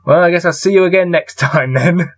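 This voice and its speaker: A man reading a gamebook aloud and adding low-key, wry muttered commentary as he plays. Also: a little fast. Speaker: solo gamebook player and reader.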